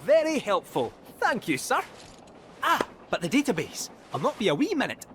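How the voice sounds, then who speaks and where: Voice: medium pitch, wildly swooping pitch, moderate pace, noisy background. An actor voicing a cheerful male robot cat in a video game, with a chirpy, theatrical, ingratiating manner. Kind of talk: video game character acting.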